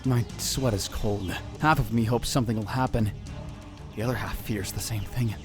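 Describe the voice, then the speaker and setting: A man's voice: on the low side, fairly even intonation, moderate pace, close to an everyday voice. A man playing an anxious, dramatic young conspiracy theorist who narrates a visual novel in a theatrical, self-questioning way. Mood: brooding, secretive. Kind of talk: first-person visual novel narration, acted. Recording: music bed under the voice. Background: background music.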